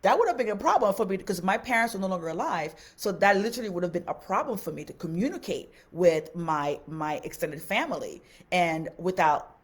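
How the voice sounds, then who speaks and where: A woman's voice: fairly low pitch, lively intonation, fairly quick, husky. A woman from Haiti, a native Haitian Creole speaker, giving casual advice as a teacher on a live stream, earnest and repeating phrases for emphasis.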